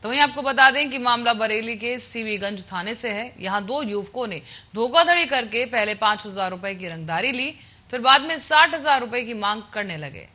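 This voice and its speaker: A woman's voice: a little high, expressive, moderate pace, hardly any pauses, sharp tone. A woman reading scripted news as an anchor.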